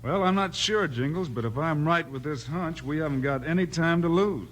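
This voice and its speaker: A man playing a steady, commanding frontier lawman hero with decisive Western phrasing in a 1950s radio western. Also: a little high, normal speed, natural voice. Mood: intent. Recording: noisy old 1950s radio recording.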